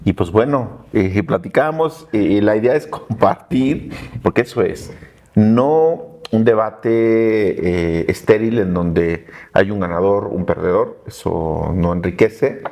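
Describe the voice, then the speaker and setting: A man, a coach who speaks in a measured, reflective way on a podcast. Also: lowish voice, a little mellow. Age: 40s. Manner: measured, reflective, with many "eh" fillers. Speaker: life coach and psychologist. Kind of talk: casual podcast chat.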